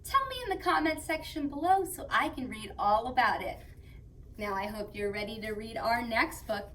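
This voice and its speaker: A woman hosting story time for young children, reading aloud and chatting to them in a playful, expressive way. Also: fairly high pitch, moderate pace, a little hiss or hum, rough recording.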